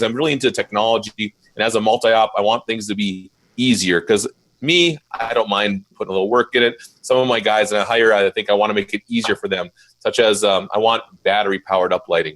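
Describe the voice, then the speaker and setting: A mobile DJ business owner, a man, talks shop in an easygoing live interview. His voice sits fairly low. He gets animated when he retells a story and raises his voice to quote people. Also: quick pace, low-quality recording. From Minnesota, USA.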